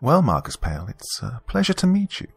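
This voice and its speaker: Man putting on a higher-pitched, genteel young British woman. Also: huge pitch swings, fairly quick, gravelly, husky, full character voice. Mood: gracious, playful. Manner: genteel, teasing politeness. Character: young pregnant British woman, playful, gracious hostess. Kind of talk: audio story character dialogue.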